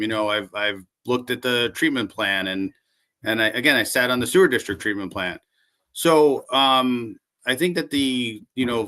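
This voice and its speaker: Calm-voiced man, bright tone. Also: medium pitch. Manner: calm, conversational, many fillers. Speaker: city council candidate. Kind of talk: interview answers.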